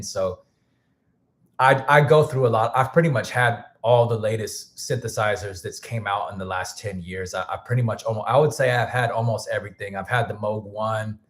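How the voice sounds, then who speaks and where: A man of middling pitch talks casually to his livestream viewers, rambling and restarting his sentences. His voice sounds a little worn and hoarse. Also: fairly bright tone. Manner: loose, rambling, thanks viewers, many restarts. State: losing his voice. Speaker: music producer and livestream host.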